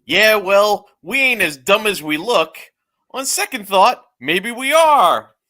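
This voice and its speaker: Dim cartoon ghost underling, voiced by a table reader, goofy, bright, slow and swooping. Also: mid-range voice, expressive. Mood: dim bluster. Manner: goofy, exaggerated. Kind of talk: live comedic table read.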